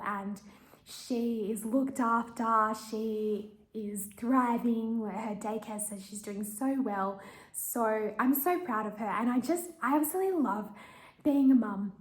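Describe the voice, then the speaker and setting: A woman, talking softly and candidly in a personal video diary. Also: mid-range voice. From Australia. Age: 30s. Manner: soft, reflective, candid. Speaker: vlogger. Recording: echoey room.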